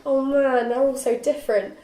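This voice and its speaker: A woman's voice: high voice, steady tone, normal speed, dark tone, close to an everyday voice. A woman playing a jokey, self-mocking young student at a table read, playful, sweary and a touch melodramatic. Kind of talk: informal script table read. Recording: echoey room, off the mic.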